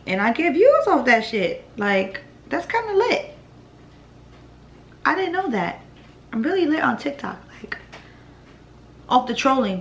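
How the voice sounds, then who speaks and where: A young woman in her twenties with a deep voice, chatting bluntly and profanely through a Q&A vlog at home. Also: a bit bright, a little hiss or hum. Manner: blunt, profane, laid-back with laughs. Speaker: vlogger answering viewer questions. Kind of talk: casual Q&A vlog. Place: living room at home, indoors.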